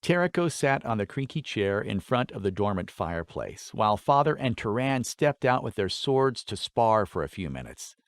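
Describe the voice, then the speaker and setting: A man narrating a fantasy story in an expressive, scripted audiobook style. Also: lowish voice, normal speed, few pauses, no room sound, clean studio sound.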